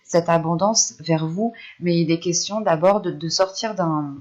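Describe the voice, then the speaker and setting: A woman with a fairly low voice gives a spoken tarot reading. She feels her way through the interpretation, hesitating and restating phrases. The recording is somewhat dull and lo-fi. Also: unhurried, a little mellow. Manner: hesitant, searching for words, repeats phrases. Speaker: tarot reader.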